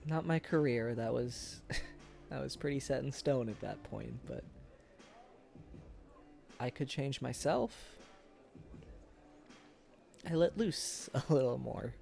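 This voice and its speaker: A man plays a former high school sweetheart, wistful and self-deprecating, in an intimate boyfriend ASMR roleplay. He speaks slowly and haltingly, with a creaky edge to his voice. Soft music sits faintly behind him. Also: animated, full character voice. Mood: regretful, tender. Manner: hesitant, halting, chuckles through confessions.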